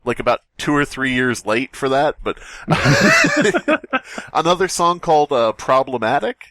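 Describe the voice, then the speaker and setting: A man's voice: fairly high pitch, huge pitch swings, unhurried, pushed and bright, no echo, studio quality. A man co-hosting a podcast, chatting casually in a rambling, crude way and laughing often. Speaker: podcast co-host. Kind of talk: casual banter.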